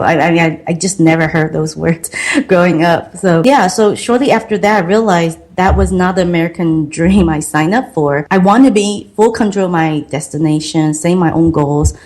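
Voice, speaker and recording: Middle-aged woman, Taiwanese accent, second-language English, slightly low voice, earnest storyteller. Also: a little mellow. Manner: earnest, reflective, slow and careful. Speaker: guest, marketing consultant. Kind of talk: podcast interview storytelling.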